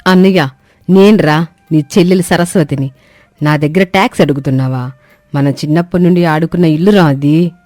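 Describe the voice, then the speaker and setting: A poor younger sister in a village tale, voiced by a woman, pleading and reproachful. Her tone is mellow, and music plays underneath. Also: low-pitched, brisk, no echo, natural voice. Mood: hurt, pleading.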